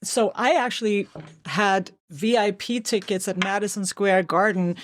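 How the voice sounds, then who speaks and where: A woman's voice: medium pitch, unhurried, slight background noise. A woman from Sweden with a Swedish accent, a singer and vocal coach chatting as a guest with frequent restarts. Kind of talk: casual interview chat.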